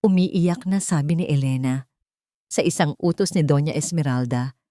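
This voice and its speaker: A woman's voice: fairly low pitch, a little mellow, dry recording, studio quality. A woman reading a dramatic scripted story as its narrator.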